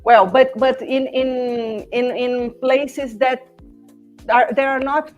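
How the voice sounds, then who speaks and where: A woman in her forties from Brazil, speaking English with a Brazilian Portuguese accent as a podcast guest, halting and searching for words with many restarts. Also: high voice, steady tone, highs cut off, faint music behind. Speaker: podcast guest, former classmate. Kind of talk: casual conversation.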